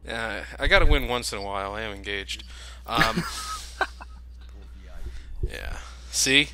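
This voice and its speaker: A man's voice: lowish voice, slow, background noise. A man commentating over a video game, joking sarcastically and swearing freely. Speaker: let's play commentator. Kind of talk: casual gameplay commentary.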